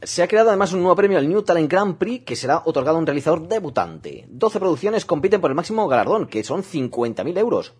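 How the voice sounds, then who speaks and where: A man's voice: on the high side, very animated, fast talker, hardly any pauses, darkish tone, husky. A man co-hosting a radio film show, chatting and joking with a teasing manner. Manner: jokey, laughs often, teasing. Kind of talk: casual joking radio chat.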